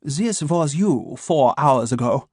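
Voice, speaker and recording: A man voicing a cold, calculating middle-aged male scientist with a crisp, clipped German accent, speaking slowly and formally. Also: fairly high pitch, animated, darkish tone, dry recording, studio quality, full character voice. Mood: clinical. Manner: slow, measured, coldly formal.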